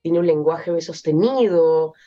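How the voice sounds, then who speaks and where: A young Peruvian woman with a Peruvian Spanish accent, an actress being interviewed, speaking thoughtfully with many pauses and fillers. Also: deep voice, mellow, dull audio. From Peru. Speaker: actress guest. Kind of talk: interview conversation.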